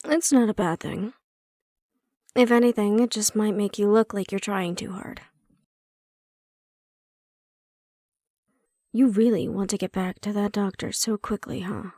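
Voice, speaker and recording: A woman playing a prickly but secretly caring handler in an intimate fantasy audio roleplay, speaking in a wry, measured, matter-of-fact way. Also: medium pitch, steady tone, mellow, no room sound, clean studio sound, close to an everyday voice. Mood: dry, needling.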